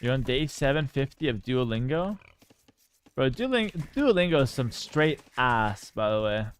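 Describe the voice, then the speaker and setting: A man talks loosely to his viewers during a live game stream. He jokes and swears casually and answers chat messages as they come in. His pitch is in the middle range for a man. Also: very animated, moderate pace, smooth. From Canada. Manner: laid-back, joking, swears casually, reads chat aloud.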